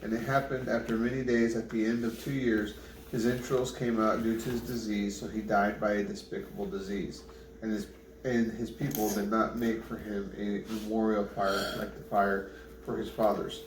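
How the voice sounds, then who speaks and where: A man reading scripture aloud in a plain, unpolished way, halting and correcting himself over names. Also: on the low side, level tone. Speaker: Bible reader. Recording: roomy, distant mic, clear background noise.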